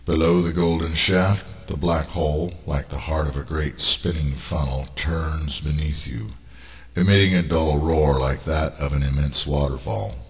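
A man reading a scripted guided meditation as a narrator, in a solemn, deliberate, formal manner. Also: very deep voice, steady tone. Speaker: narrator of a spiritual training monologue.

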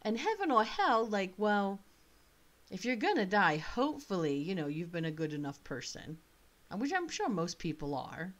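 A woman's voice: medium pitch, sing-song, moderate pace, fairly bright tone. A woman in her thirties with a slight British accent, chatting casually and thinking aloud as she plays an online choice game. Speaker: author playing a choice game. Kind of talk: casual commentary. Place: small room indoors.

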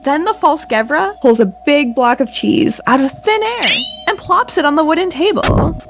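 A woman's voice: high-pitched, lively intonation, normal speed, noisy background. A woman narrating a scripted audio drama.

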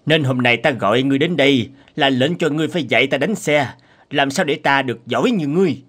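A man's voice: medium pitch, fast talker, a bit bright, natural voice. An actor playing a proud, competitive king in a fairy-tale cartoon, with an imperious, boastful manner. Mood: commanding.

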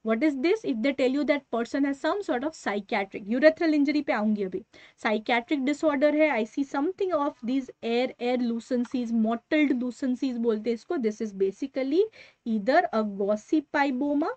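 A woman's voice: high-pitched, quick pace, darkish tone, no echo, muffled recording. A woman in her thirties with an Indian accent, giving an emphatic revision lecture as a medical teacher and mixing in Hindi phrases. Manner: emphatic, instructive, checking in with short tags.

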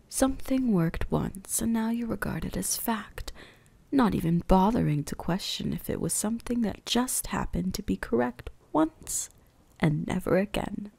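A woman's voice: medium pitch, darkish tone. A woman playing an ancient, predatory, seductive vampire huntress in an intimate ASMR roleplay, whispery, teasing and condescending. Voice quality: breathy, whispery.

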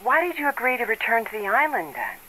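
An actor playing an eager, courteous expedition interviewer in a video game, inquisitive and animated, heard through an old tape filter. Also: male voice, extremely high pitch, fast, full character voice. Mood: eager, astonished. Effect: old tape recording filter. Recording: noisy, thin reel-to-reel tape playback.

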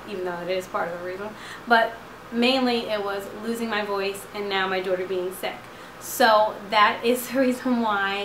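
A woman in her twenties talks straight to a close camera from her couch, chatty and rambling with plenty of fillers. Her voice is slightly hoarse as it recovers. The room sounds roomy, and a clear hiss runs underneath. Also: mid-range voice. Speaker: vlogger. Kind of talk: casual chat to camera. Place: living room couch at night. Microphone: camera at close range.

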